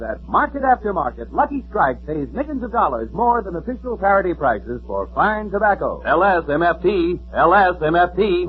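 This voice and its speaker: A man delivering an emphatic, declamatory 1940s radio commercial as an announcer. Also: high voice. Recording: thin, tinny 1940s radio recording.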